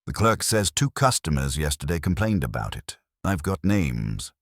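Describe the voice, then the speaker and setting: A man's voice: extremely low pitch, very animated, normal speed, no room sound, studio quality, heavily acted. A man voicing a terse, businesslike private investigator in an audiobook, reporting in clipped, matter-of-fact phrases.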